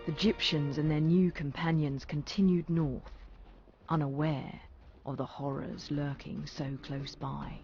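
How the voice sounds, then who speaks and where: A man's voice: a little high, little pitch movement, a little slow, a little mellow. A man narrating a fantasy video game as a dramatic storyteller, reading a scripted tale. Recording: muffled game audio under music.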